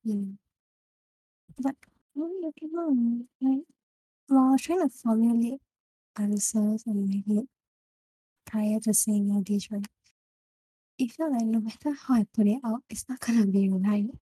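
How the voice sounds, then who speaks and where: A young woman with a Singaporean English accent, casually discussing a book with friends and often pausing to find her words. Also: on the high side, warm tone, no echo, poor audio. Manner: halting, searching for words. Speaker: book club member.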